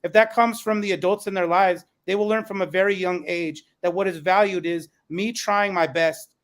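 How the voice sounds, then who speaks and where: A man with a high voice moderates a podcast panel. He speaks earnestly and conversationally, passing questions to the guests. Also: fairly even intonation, normal speed.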